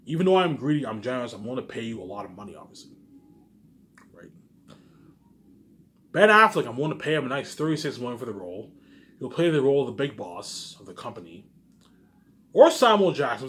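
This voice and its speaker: A man's voice: medium pitch, fairly bright tone. A man talking casually to his viewers in a rambling monologue, boastful and self-assured, repeating himself and tacking trailing asides onto his phrases. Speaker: vlogger.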